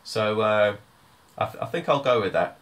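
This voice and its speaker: Male tutor, lower register, informal step-by-step software walkthrough with a hesitant, thinking-as-he-goes delivery. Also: expressive, moderate pace, slight background noise. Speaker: music tutor.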